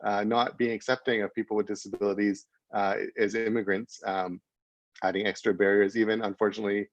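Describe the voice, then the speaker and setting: Man from Canada, panel guest; low flat delivery, halting, dry lo-fi call audio. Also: no room sound. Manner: hesitant, many fillers and restarts. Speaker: panel speaker. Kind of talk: online panel talk. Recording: dull lo-fi video call audio.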